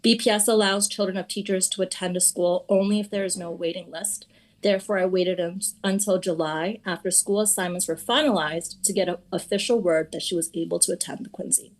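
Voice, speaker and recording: A woman's voice: fairly low pitch, fairly even intonation, brisk, poor audio. Earnest woman reading formal testimony. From Boston area. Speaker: teacher and parent giving public comment. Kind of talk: reading prepared public testimony. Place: city school committee meeting.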